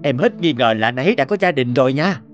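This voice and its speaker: A man playing an earnest, patient young man in dubbed animated dialogue. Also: medium pitch, quick pace, dull audio, lightly acted. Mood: casual, upbeat. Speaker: voice actor in an animated moral tale. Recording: music behind the voice.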